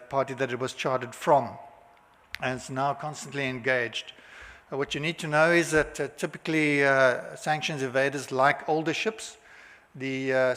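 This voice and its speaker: A South African man presents at a conference in a hotel hall, his fairly high voice trailing into long reverb. He talks haltingly, stopping often on filler sounds and restarting his phrases. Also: fairly bright tone. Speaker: conference speaker.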